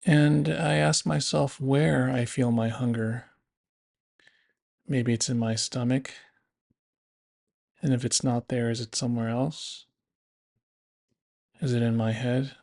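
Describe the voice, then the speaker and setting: A man narrating a guided body scan meditation in a gentle, unhurried, instructive manner. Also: medium pitch, steady tone, vocal fry.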